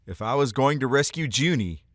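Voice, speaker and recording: A man's voice: mid-range voice, lively intonation, fairly quick, no room sound, studio quality, natural voice. A man playing a cocky, wry, hardboiled hero in a detective audio drama. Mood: wry, confident. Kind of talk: first-person detective drama narration.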